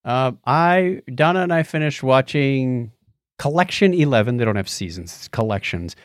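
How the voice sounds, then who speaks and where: A man's voice: medium pitch, animated, moderate pace, dry recording, clean studio sound. A man co-hosting a podcast, chatting casually about shows and retelling things in a conversational way with quoted asides. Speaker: podcast co-host. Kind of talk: casual chat reviewing TV shows.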